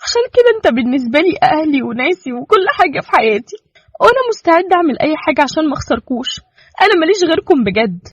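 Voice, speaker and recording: A woman voicing a tearful, anxious young mother with an Egyptian accent, her husky voice raised high and breaking. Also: big pitch swings, very fast, no echo. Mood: earnest. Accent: Egyptian Arabic. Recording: dull, muffled, dry recording.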